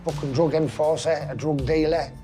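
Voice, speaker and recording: A man's voice: fairly high pitch, fairly even intonation, moderate pace. Blunt northeast English man, music behind. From northeast England. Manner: blunt, profane. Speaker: interviewee. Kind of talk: documentary interview.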